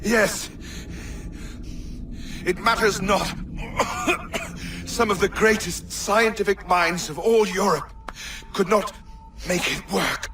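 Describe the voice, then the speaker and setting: A frail, defeated man of learning in a game scene. He speaks desperately in a high voice, very slowly, at some distance from the mic. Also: music in the background. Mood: desperate, bitter. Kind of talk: video game cutscene acting.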